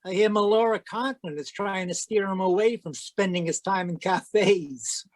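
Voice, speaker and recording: A man's voice: sits high, normal speed, dry recording, close to an everyday voice. Slick, scheming swindler, dry sound played by a man. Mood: scheming, coaxing. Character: greedy male swindler, slick accomplice of cafe singer. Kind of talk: old-time radio drama acting.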